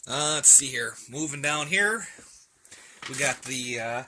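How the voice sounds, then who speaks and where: A man's voice: mid-range voice, sharp tone. A man chatting casually in a rambling, hesitant, folksy way as he shows off a hobby collection at home. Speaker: radio hobbyist vlogger. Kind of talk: casual rambling show-and-tell chat. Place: indoors at home, room with radio shelves.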